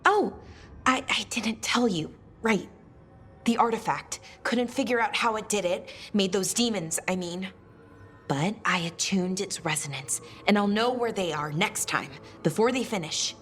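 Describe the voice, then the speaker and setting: Woman as slow-spoken, anxious young scholar. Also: mid-range voice, fairly bright tone, faint music behind, lightly acted. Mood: anxious, hopeful. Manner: hesitant, trailing afterthoughts. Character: earnest, anxious, hopeful young female artifact scholar. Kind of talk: video game voice acting.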